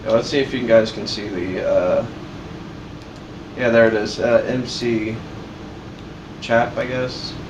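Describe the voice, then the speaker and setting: A man's voice: on the low side, steady tone, takes it slowly, long pauses, lo-fi sound. A man chatting casually as a reaction host, explaining and pointing things out as he goes. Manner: explanatory, pointing out on-screen details. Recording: echoey room with loud background noise.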